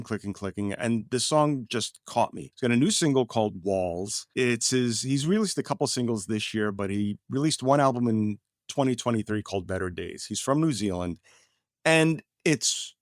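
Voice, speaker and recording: A man's voice: fairly low pitch, moderate pace, no echo. Man in casual chat, dry studio-like sound. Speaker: podcast panelist. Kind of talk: casual music recommendation.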